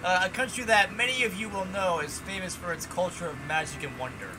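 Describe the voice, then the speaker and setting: A man's voice: sits high, brisk, over loud music, lightly acted. A man reading the lines of a formal female teacher of magic, lecturing a class.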